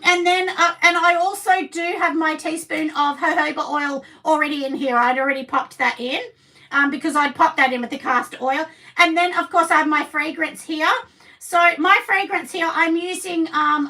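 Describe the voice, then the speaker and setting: A chatty Australian woman with a husky voice, hosting a live craft class and rambling to her viewers. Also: sits high, sharp tone. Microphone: camera set down at a distance. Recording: voice a bit distant from the mic.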